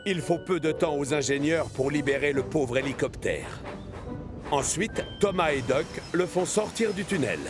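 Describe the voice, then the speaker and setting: A man's voice: on the high side, expressive, moderate pace, over music. A man narrating a children's cartoon as a storyteller, reading a scripted tale.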